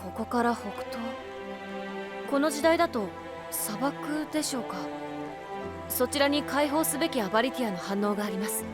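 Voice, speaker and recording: Woman as a high-voiced, composed guide. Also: moderate pace, long pauses, loud music behind the voice, lightly acted. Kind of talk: Japanese anime game voice acting.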